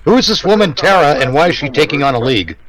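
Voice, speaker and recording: A man's voice: on the high side, lively intonation, quick pace, crowd noise. A man giving casual, mocking commentary as a wisecracking co-host. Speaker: co-host riffing on old radio shows.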